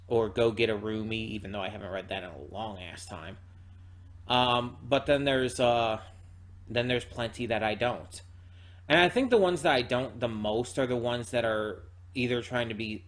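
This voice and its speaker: Rambling, halting man giving casual commentary. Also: mid-range voice, fairly bright tone. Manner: rambling, halting, repeats words and hedges often. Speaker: reaction channel host. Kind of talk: unscripted casual reaction commentary.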